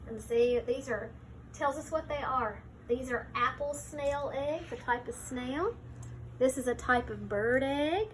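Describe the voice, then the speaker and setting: A woman teacher reading a picture book aloud to young children, pausing to explain things simply and point them out. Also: high-pitched, slight background noise.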